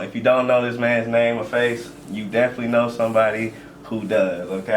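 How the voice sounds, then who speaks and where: A man's voice: lowish voice, far from the mic. Joking slangy male podcast host, roomy echo. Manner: loose, joking slang with lots of "okay"s. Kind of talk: casual interview chat. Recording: roomy echo, off-mic, faint noise.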